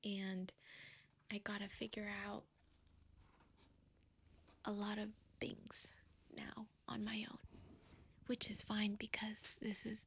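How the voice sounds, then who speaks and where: A young woman whispering softly in a slow, rambling way, chatting ASMR-style at home. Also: medium pitch, little pitch movement, creaky, a little hiss or hum. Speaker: vlogger. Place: quiet home, indoors.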